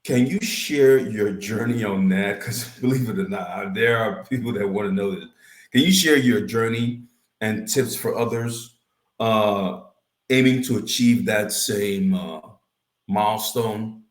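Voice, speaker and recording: Man, host, halting questions, fairly low voice, echoey room. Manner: hesitant, halting questions full of "uh" and restarts. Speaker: podcast host. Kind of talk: interview questions.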